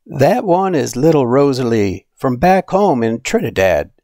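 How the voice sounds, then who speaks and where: A man's voice: medium pitch, big pitch swings, unhurried, dark tone, dry recording, clean studio sound. A man voicing a proud, encouraging old Trinidadian grandmother in a storybook, folksy and emphatic.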